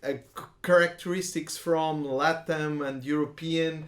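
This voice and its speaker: A man hosting an informal interview, speaking haltingly with pauses between phrases. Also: fairly high pitch, steady tone, fairly bright tone. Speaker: interviewer, host. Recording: echoey room sound.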